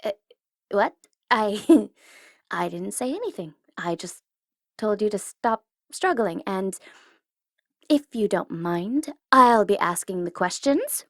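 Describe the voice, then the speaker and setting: A woman's voice: medium pitch, lively intonation, slow, pauses often, dry recording, studio quality. A woman playing a smug tsundere supervillain in an ASMR audio roleplay, taunting and teasing theatrically, at times in a whisper. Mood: flustered, then gloating, mocking. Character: smug tsundere female supervillain gloating over her captive hero.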